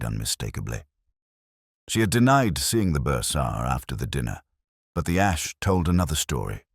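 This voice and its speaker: A man acting as a melancholy police inspector recounts a case like an audio detective drama. His voice is extremely low, with lively rises and falls within a measured, reflective delivery. The phrasing is literary and solemn, and the sound is close and dry. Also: no room sound, exaggerated voice. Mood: brooding. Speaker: narrator. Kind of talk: scripted first-person detective story narration.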